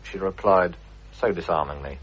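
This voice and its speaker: A man's voice: sits low, moderate pace, breathy edge. A British man giving a prepared, urbane radio reminiscence full of anecdote. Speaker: guest contributor, theatre producer. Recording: dull old radio recording.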